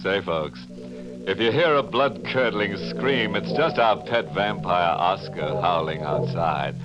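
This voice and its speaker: Macabre 1940s radio horror host: slow, theatrical, darkly gleeful, muffled vintage sound. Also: male voice, low-pitched. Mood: ghoulish glee. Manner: theatrical, drawn-out, chuckling delivery. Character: macabre, gleefully morbid horror show host cracking ghoulish puns. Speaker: host and narrator. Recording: muffled old radio recording with music.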